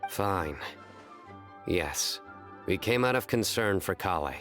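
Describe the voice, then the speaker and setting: A man voicing a stern young man in a video game, terse and deadpan. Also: deep voice, takes it slowly, exaggerated voice. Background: game music.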